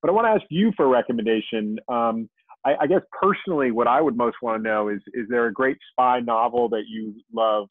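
Interview host on a tinny call. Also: male voice, mid-range voice, brisk, no echo. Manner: friendly, rambling questions with restarts. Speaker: podcast host. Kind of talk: interview questions. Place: room with bookshelves. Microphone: video call. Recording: dry tinny phone-like call.

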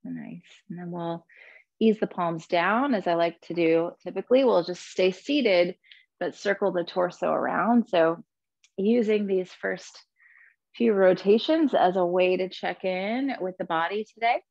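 Low, creaky-voiced woman guiding yoga. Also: no echo, muffled recording. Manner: soothing, gentle, unhurried instruction. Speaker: yoga and meditation teacher. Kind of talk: guided yoga class with breathing cues.

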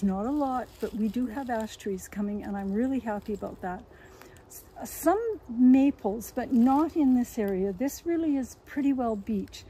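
A woman's voice: on the high side, warm tone, breathy edge, low-quality recording. An older woman guiding a casual walking tour of her woodland outdoors, explaining what she observes, recorded by a camera a few meters away. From Canada. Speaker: woodlot owner giving a tour. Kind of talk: casual guided walk. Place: autumn forest outdoors.

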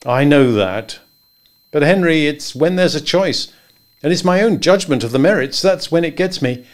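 A man voicing a weary, solemn middle-aged man who speaks in a tired, quiet way. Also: a little high, expressive, moderate pace, voice pushed up, close to an everyday voice. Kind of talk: audiobook character voice.

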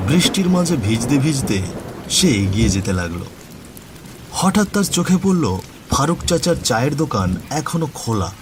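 A man's voice: medium pitch, slight background noise. A man narrating a scripted horror cartoon story in a dramatic storytelling style.

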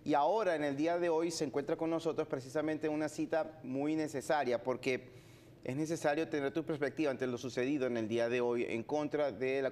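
A man's voice: fairly high pitch, a little mellow. A man, hosting a TV interview in a formal, fluent manner. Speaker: host. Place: TV studio.

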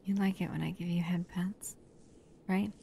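A woman playing a protective, upset human lover in an ASMR roleplay, stern yet often softly whispery. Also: on the low side, fairly even intonation, moderate pace, slight background noise. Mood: soft, affectionate. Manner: soft, breathy near-whispers mixed with stern scolding.

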